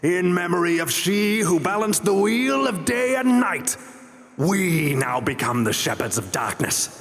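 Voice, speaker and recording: A man's voice: sits high, very animated, unhurried, heavily acted. A man playing a scheming revolutionary pony leader giving a grand, oratorical rallying speech in a fan radio drama. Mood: rousing. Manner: grand, oratorical, building to a shout. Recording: long hall reverb.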